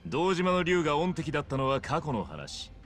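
Suave young gangster boss, swooping intonation. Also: male voice, fairly high pitch, big pitch swings, normal speed, faint music behind. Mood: polite, calculating. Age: young adult. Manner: smooth, polite, theatrical. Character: suave young male gangster boss running a club, smug. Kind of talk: video game cutscene acting.

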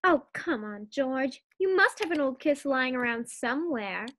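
A woman's voice: sits high, expressive, unhurried, a bit bright. A woman playing a perky, exasperated housewife with emphatic comebacks in an old-time radio sitcom. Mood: teasing.

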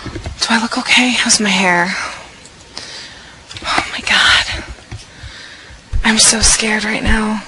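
A woman's voice: mid-range voice, steady tone, measured pace, noisy background, natural voice. A young woman playing a nervous teen girl left home alone, talking anxiously to herself and often whispering. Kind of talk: amateur horror skit acting.

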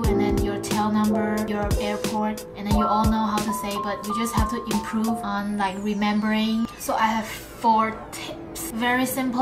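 A young woman giving casual tips to camera in simple, informal wording with non-native phrasing. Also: on the high side. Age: young adult. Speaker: student pilot vlogger. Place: small room indoors. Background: background music.

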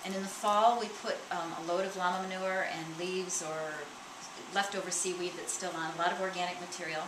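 A woman who runs a farm stand, explaining her growing methods in a measured, practical, step-by-step way. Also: lowish voice, fairly even intonation. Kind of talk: casual explanation to a visitor. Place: inside a small farm stand. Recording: distant voice, echoey room, loud noise.

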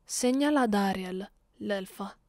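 Haughty vampire mistress played by a woman, ASMR roleplay. Unhurried, commanding, sadistic, intimate and dry. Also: mid-range voice, a little mellow, no room sound, lightly acted. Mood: seductive, imperious. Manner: commanding, slow and deliberate, slipping into breathy whispers. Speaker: roleplay narrator and voice actor. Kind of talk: ASMR roleplay, slow and close. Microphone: close, dry microphone.

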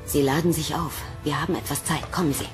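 Low-voiced, earnest alien woman played by an actress. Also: steady tone, quick pace, lightly acted. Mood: earnest, pressing. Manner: earnest, urgent. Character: urgent, secretly compassionate alien woman in human body. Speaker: actor. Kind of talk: German sci-fi audio drama acting. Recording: music under the voice.

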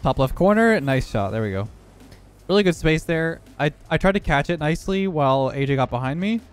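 A young man who has lost some of his voice, hoarse, giving relaxed running commentary over his own gameplay. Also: on the high side, a little fast. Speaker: gaming YouTuber.

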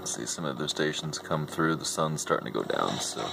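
A man's voice: rumbling low, lively intonation, a little fast, gravelly. A man giving a casual, offhand demonstration of an old radio in a room at home. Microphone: handheld camera, speaker behind it. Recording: noisy handheld recording with radio static. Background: loud radio playing and static.

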